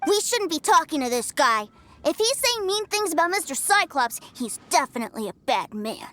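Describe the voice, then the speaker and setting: High-pitched, earnest little boy. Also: sing-song, moderate pace, sharp tone, heavily acted. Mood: indignant. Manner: earnest, childlike, emphatic. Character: cheerful, trusting little boy devoted to his big brother. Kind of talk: video game voice acting. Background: prominent music.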